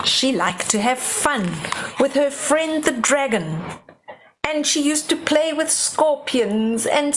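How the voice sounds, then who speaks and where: An older woman tells a children's story in a fairly high voice, taking her time. She reads dramatically and explains simply, with faint noise behind her. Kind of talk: storybook read-aloud with asides to young children.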